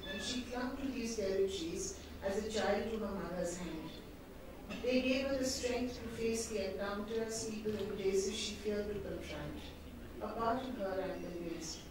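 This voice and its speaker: An older woman, a Hindi speaker, speaking in a measured, reflective way as an author in conversation. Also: medium pitch. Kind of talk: reading aloud then conversational talk. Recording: echoey hall picked up from far off with background noise.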